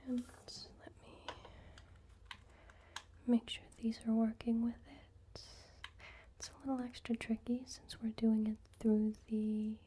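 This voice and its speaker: A woman whispering softly as a cashier in an ASMR roleplay, her tone very dark and mellow. She keeps her voice flat and unhurried, chatting gently across a table in a quiet indoor room. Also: on the high side, a little hiss or hum. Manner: soft-spoken, mostly whispering; friendly chatty shop-counter small talk. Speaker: ASMR creator playing a cashier.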